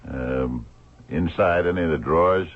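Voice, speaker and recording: An actor playing a shrewd, dogged blind investigator in a radio drama, gravelly and husky, probing with hesitant, murmured questions. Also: male voice, extremely low pitch, a little slow, heavily acted. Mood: musing, intent. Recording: thin, phone-like old radio recording.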